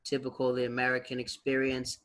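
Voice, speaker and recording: A man's voice: medium pitch, monotone, fairly quick, a bit bright. A man hosting a podcast over a video call, asking eager, probing questions in a casual interview. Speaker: podcast host.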